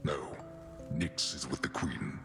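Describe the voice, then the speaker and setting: A cavernous, very deep god of the underworld for a video game. He speaks sternly and drily in curt, solemn phrases, with music behind him and long reverb. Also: steady tone, slow. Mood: stern, dry. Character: huge stern, gruff god-king of the underworld.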